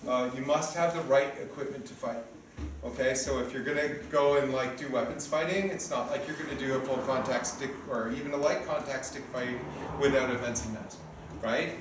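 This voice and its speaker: A man's voice: mid-range voice, fairly quick. A man in his fifties giving an informal coaching briefing to a group as organizer and referee, often checking in with short tag questions. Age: 50s. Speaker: sparring event organizer and referee. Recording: echoey, distant room recording with noise.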